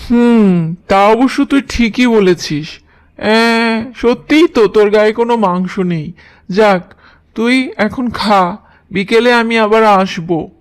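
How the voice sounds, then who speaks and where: A man's voice: high-pitched, slow pace, clean tone. A man voicing a greedy, gullible lion king in a children's cartoon, talking down to others in a bossy way. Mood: pleased, gullible. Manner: imperious, talks down to others. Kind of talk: children's cartoon story dialogue.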